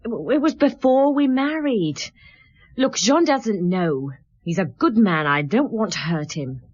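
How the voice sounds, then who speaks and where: Evasive suspect, brittle, sarcastic, very lively intonation, radio drama, muffled sound. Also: female voice, medium pitch, slow. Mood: defensive, pleading. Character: defiant, evasive married woman under police questioning.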